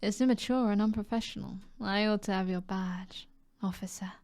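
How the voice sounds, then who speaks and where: A woman with a British accent voicing a seductive, self-assured mob boss in an ASMR roleplay, soft and flirtatious, often dropping to a whisper. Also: medium pitch, fairly even intonation, no room sound, lightly acted. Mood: teasing, seductive. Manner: flirtatious, teasing, unhurried.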